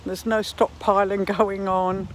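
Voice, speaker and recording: A woman in her sixties giving a reflective, halting monologue to herself and her viewers as she walks outdoors. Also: mid-range voice, level tone, noisy background. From Netherlands and New Zealand. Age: 60s. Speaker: vlogger. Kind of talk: reflective walking vlog monologue. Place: outdoors, walking in a park.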